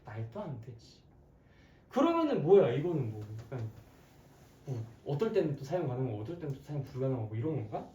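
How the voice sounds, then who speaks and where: A math teacher with a hoarse voice giving an interactive classroom lecture, playful and conversational, often posing questions to students. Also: mid-range voice, big pitch swings, fairly quick, mellow, dull audio. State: hoarse, frequent throat clearing. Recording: echoey, distant mic, background noise.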